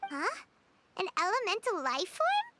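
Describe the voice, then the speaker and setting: A woman voicing a tiny, perky, childlike fairy companion in a video game, expressive and eager. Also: extremely high pitch, a little slow, full character voice. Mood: curious. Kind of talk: scripted video game dialogue. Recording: loud background noise, clicks.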